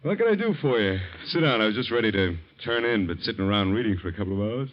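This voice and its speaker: An actor playing a deep-voiced hot-tempered cop. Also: male voice, animated, fast talker, close to an everyday voice. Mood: defensive. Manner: blustering, defensive. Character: proud police officer, quick to anger. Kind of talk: 1940s radio acting. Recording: dull old radio broadcast.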